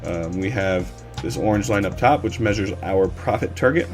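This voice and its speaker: A man with a lowish, slightly hoarse voice talks through a live coding stream at his desk. He rambles along loosely, with plenty of ums and restarts. Music plays clearly under his voice. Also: moderate pace. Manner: relaxed, rambling with many fillers. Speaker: livestream coding instructor. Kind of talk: casual live-stream tutorial.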